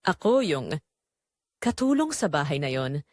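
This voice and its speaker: A woman playing a shy, weary housemaid in an audio drama, quiet and hesitant. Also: deep voice, big pitch swings, measured pace, no echo, clean studio sound, pitched below the performer's own voice, exaggerated voice. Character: shy, weary housemaid, older than the guard.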